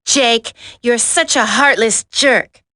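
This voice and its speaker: An actress playing a feisty, confident, sharp-tongued female soldier in a dramatic video game. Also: on the high side, slow pace, dry recording, studio quality. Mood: upset.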